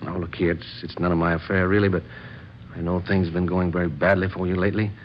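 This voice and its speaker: An actor playing a concerned, hesitant gentleman friend, polite and genteel in old-time radio style, on a worn old recording. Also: low voice, flat delivery, fast talker, dull audio, slight background noise, close to an everyday voice.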